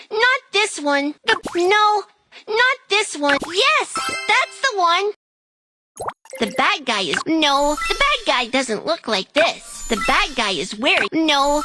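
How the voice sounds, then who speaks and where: A woman's voice: very high voice, full character voice. A woman voicing a small, eager, childlike animal police helper in a children's cartoon, speaking in simple exclamatory phrases. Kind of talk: scripted children's cartoon dialogue.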